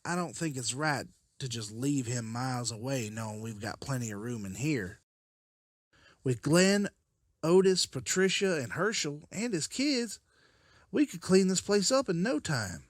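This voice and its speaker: A man's voice: mid-range voice, very animated, unhurried, no room sound, studio quality. A man playing an earnest, persuasive leader of apocalypse survivors in a dramatized comic. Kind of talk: dramatized comic-book voice acting.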